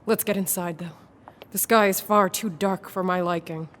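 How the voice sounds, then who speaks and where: A woman playing a haunted, secretive and gravely urgent intellectual with formal, theatrical diction in a radio horror drama. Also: fairly low pitch, steady tone, normal speed, fairly bright tone, a little hiss or hum, natural voice. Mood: secretive, weary.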